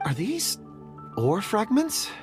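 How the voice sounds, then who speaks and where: A man's voice: high voice, wildly swooping pitch, takes it slowly, music in the background, heavily acted. An actor playing a modest, polite young man in a video game, speaking earnestly.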